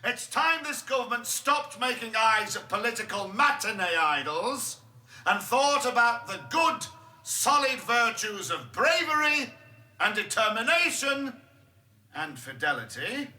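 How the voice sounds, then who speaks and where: An actor playing an indignant, pompous backbench politician making a sarcastic, emphatic speech in a radio drama. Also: male voice, very high voice, very animated, slow pace, sharp tone, heavily acted. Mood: righteous, rousing. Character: indignant backbench MP denouncing a minister, pompous and righteous. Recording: echoey chamber with faint noise.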